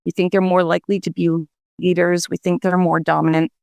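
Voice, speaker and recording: Woman academic with a gravelly edge. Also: on the low side, flat delivery, fairly quick, a little mellow, no echo. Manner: explanatory, many hedging fillers. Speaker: psychology researcher guest. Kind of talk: radio interview, explaining research.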